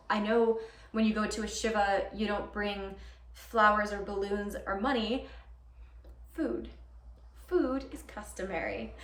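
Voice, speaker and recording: A woman's voice: mid-range voice, natural voice. A woman playing an earnest, nervous young girlfriend who rambles and corrects herself in a theatrical monologue. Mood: nervous, chatty. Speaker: actor performing a monologue. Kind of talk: theatrical comic-dramatic monologue. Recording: roomy, distant mic with background hiss.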